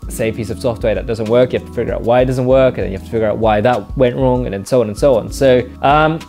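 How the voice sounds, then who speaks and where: A man's voice: mid-range voice, fast talker, darkish tone, soft background music. A young man in his twenties from England, answering viewer questions in a rambling, casual way with lots of fillers and dry jokes, seated on a living-room sofa. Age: 20s. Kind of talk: casual Q&A monologue. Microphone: mic off-camera, seated facing camera.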